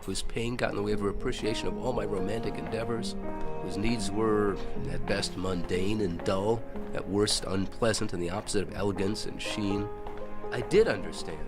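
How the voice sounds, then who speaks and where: An actor playing a devoted older husband, narrating his story in a reflective, measured way. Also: on the low side, close to an everyday voice. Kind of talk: first-person drama narration. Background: loud music.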